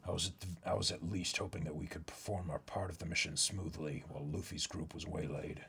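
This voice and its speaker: A man voicing a grave, resolute warrior with a hushed, deliberate delivery in a comic book reading. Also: low voice, fairly even intonation, creaky, a little hiss or hum, voice pushed down. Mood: grim. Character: grave, low-voiced male warrior, weary and resolute, ready for sacrifice.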